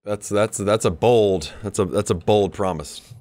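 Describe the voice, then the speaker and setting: Low-pitched wry man bantering on a podcast. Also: a little slow, a little mellow, no echo. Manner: wry, sarcastic, profane. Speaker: podcast co-host. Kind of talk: casual, joking podcast banter.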